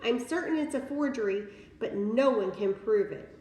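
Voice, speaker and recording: A woman voicing a gentle young schoolteacher who talks in an earnest, confiding way in a storybook reading. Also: a little high, normal speed, close to an everyday voice. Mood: candid, resigned. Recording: roomy, distant mic, faint noise.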